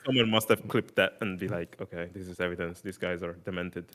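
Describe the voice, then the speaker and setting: A man whose first language is Italian, chatting casually as a podcast host, excitable, laughing often and trailing off mid-sentence. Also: sits low, fairly quick. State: sleep deprived. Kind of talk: casual chat.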